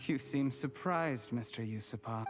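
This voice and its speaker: A man's voice: medium pitch, normal speed, soft background music, natural voice. An actor playing a bitter, ambitious young man who schemes coolly in a video game. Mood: bitter, defiant.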